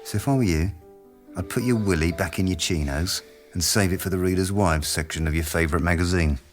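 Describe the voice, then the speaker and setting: An actor playing a domineering, sardonic British research boss in middle age, in a radio drama. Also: male voice, low voice, a little fast, soft background music, close to an everyday voice. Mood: mocking. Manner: sardonic, brusque, mocking. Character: domineering, sneering male boss of a research team. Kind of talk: naturalistic radio drama acting.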